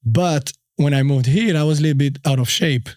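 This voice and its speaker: A man's voice: on the high side, darkish tone, no room sound, studio quality. Albanian-accented man telling his story. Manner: unhurried, halting, frequent fillers. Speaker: guest. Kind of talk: casual storytelling interview.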